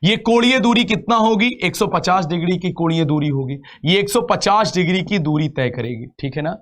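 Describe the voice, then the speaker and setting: A man's voice: sits high, normal speed. A man teaching an exam class step by step, repeating phrases and asking rhetorical questions. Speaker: reasoning teacher for exam coaching. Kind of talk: live online lecture, explaining step by step.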